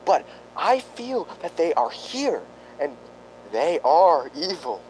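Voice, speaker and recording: Solemn ghost-hunting doctor, animated higher voice man acting. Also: slow, slight background noise, low-quality recording. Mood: ominous. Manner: formal, pedantic, grave. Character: solemn, earnest male ghost-hunting doctor, psychically sensitive. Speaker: paranormal investigator. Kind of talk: amateur radio drama acting.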